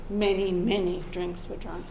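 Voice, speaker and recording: A woman with an Australian accent, croaky from a cold, telling an earnest personal story to a live audience and clearing her throat now and then. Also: lowish voice, flat delivery, measured pace, darkish tone, roomy sound, a little hiss or hum, low-quality recording. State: hoarse from a cold. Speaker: open mic storyteller.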